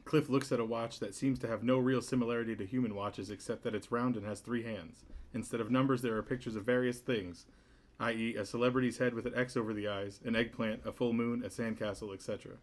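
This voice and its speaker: A man's voice: medium pitch, fairly even intonation, fast talker. A man narrating stage directions from a comedy script at a table read.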